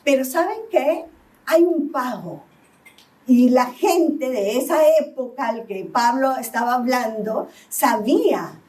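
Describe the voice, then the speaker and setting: A woman's voice: sits high, darkish tone, recorded from a distance, rough recording. A middle-aged woman from Lima with a Peruvian accent, preaching a sermon as pastor and often asking the congregation "¿sí?". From Peru, Lima. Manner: asks the congregation "¿sí?" again and again. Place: church.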